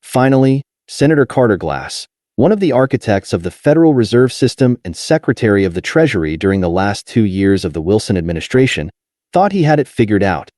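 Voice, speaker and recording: A man narrating a nonfiction audiobook in a measured, clearly articulated reading. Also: lowish voice, very animated, darkish tone, dry recording, clean studio sound.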